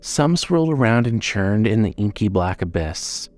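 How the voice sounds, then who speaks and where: A man's voice: on the low side, animated, normal speed, darkish tone, soft background music. A man narrating a scripted horror story with dramatic storytelling delivery.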